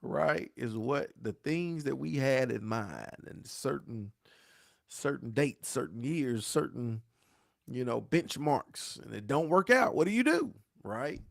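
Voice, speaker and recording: A pastor who talks to viewers in a preachy, repeating way and asks "right?" again and again. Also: male voice, medium pitch, lively intonation, takes it slowly, vocal fry, no room sound, clean studio sound. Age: 40s. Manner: dialect grammar, says "y'all". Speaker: pastor hosting a grief talk show. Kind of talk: live-stream talk answering viewer questions.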